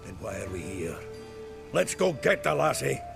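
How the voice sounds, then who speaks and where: An actor playing a gruff, jovial, foul-mouthed dwarf in a video game, talking bluntly and heartily. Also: male voice, fairly low pitch, sing-song, moderate pace, over music, exaggerated voice. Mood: determined. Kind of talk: video game character acting.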